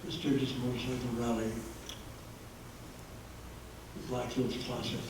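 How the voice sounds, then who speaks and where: A man's voice: mid-range voice, level tone, measured pace, noisy background. Guy giving a local history talk, lots of uhs, sounds far off in a big echoey room. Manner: hesitant, many uh fillers. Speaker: history presenter. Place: meeting room with audience. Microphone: handheld microphone, held low at times.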